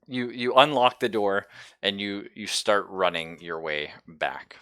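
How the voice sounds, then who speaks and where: A man's voice: on the low side, unhurried, bright, forceful tone, clear, resonant tone, no echo. A man improvising narration as game master of a tabletop roleplaying game, conversational and playful, on a close mic. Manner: conversational, playful, swears casually.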